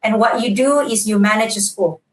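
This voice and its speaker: A woman's voice: mid-range voice, a little fast. A woman from Indonesia, a game designer, talking casually as a panel guest, often restarting words and laughing easily. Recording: echoey and distant with faint noise.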